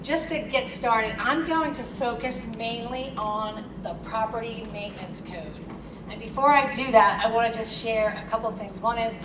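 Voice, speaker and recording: A woman with a Philadelphia accent giving a lively, conversational keynote talk at a conference. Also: on the high side. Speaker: keynote speaker. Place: conference hall. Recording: echoey hall, distant mic, noisy.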